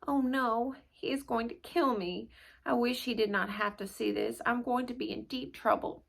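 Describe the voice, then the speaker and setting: A woman voicing an earnest, wide-eyed young schoolboy from a children's storybook in an expressive way. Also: a little high, moderate pace, creaky, voice pushed up. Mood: worried. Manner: expressive, dramatic storybook reading.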